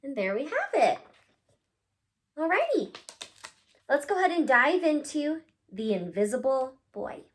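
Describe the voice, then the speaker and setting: A woman reading a picture book aloud to children, expressive and cutesy, talking directly to her young listeners. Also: high-pitched, a little slow, long pauses, slight background noise. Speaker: read-aloud host, storyteller for children.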